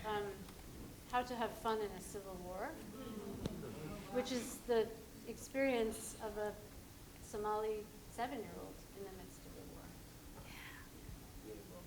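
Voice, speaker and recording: A woman's voice: mid-range voice, animated, a little slow, long pauses, background noise. A woman moderating a live event, introducing the speakers in an upbeat, conversational way. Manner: upbeat, conversational, some fillers.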